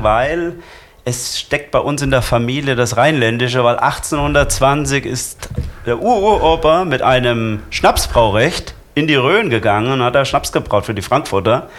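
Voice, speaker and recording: Middle-aged Franconian-born guest, rambling, lots of "ähm", mid pitch. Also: male voice, a little slow, a bit bright. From Lower Franconia, Germany. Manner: rambling, hesitant with many fillers. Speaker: guest, carnival club member. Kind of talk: casual chat.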